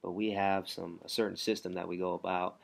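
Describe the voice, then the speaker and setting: A man working as a motivational coach, talking from home in a hushed, rambling way because his baby is asleep nearby. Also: low voice, little pitch movement, fairly quick, gravelly. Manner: soft, hushed delivery to keep a sleeping baby quiet, rambling with restarts. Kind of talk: casual motivational talk to camera.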